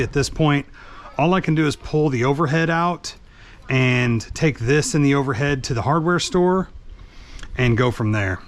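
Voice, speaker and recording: Regular guy doing a casual how-to while he works, mid voice, bit of background noise. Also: mid-range voice. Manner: informal, talking through the task as he works. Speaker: vlogger, truck driver. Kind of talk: casual hands-on how-to narration.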